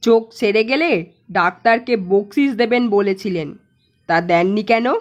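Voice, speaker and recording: A woman's voice: mid-range voice, a little slow, voice pushed down, close to an everyday voice. A woman voicing a stern male judge questioning an accused old woman. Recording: dull lo-fi narration track.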